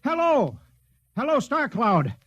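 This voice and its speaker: An actor playing an eager, anxious radio-operator sergeant, excitable and deferential, in a 1950s radio drama. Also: male voice, very high voice, takes it slowly, heavily acted. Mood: anxious, excited. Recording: muffled, faintly noisy vintage radio recording.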